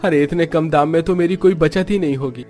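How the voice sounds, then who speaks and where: An actor playing a poor, troubled but patient young farmer husband in a cartoon story. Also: male voice, on the high side, fairly quick, darkish tone, soft background music, lightly acted. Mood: pleading.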